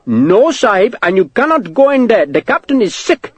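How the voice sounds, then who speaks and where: Man voicing a high-pitched, flustered clerk. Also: sing-song, normal speed, slightly rough, dry recording, full character voice. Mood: indignant. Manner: protesting, repetitive, formal. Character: middle-aged pudgy Indian clerk, lugubrious, flustered, officious. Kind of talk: audiobook character acting.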